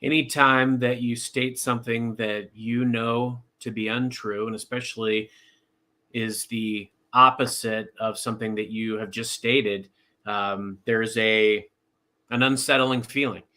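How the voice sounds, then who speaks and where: A man's voice: medium pitch, steady tone, measured pace, bright, forceful tone. A man hosting a podcast, eager and enthusiastic, with frequent fillers and restarts. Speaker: podcast host. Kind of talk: podcast introduction and chat.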